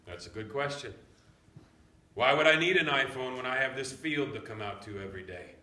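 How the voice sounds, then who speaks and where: A man's voice: mid-range voice, expressive, a little fast, sharp tone. An actor in a staged play reading, playing a teasing, nostalgic country grandfather with a folksy manner. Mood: gently earnest. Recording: echoey room, background noise.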